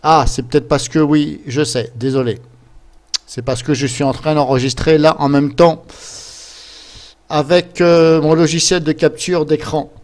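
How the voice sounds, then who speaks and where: A man presenting a casual screen-capture software tutorial, explaining things step by step in an informal, chatty way. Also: on the high side, steady tone, measured pace. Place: voice-over recorded at a computer during screen capture.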